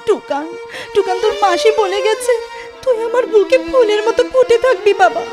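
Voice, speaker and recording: Woman acting a very high-voiced, anxious, caring friend. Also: normal speed. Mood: tearful, tender. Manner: tender, earnest, coaxing. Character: Sukriti, caring, anxious friend and devoted young mother. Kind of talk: Bengali audio drama acting. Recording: music behind the voice. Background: music.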